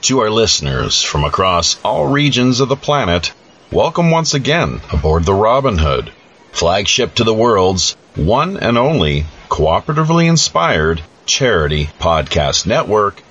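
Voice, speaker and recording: A man hosting a podcast with deliberate, measured delivery and formal, announcer-like phrasing. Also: sits low, sing-song, fairly bright tone, muffled recording, slight background noise. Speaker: podcast host.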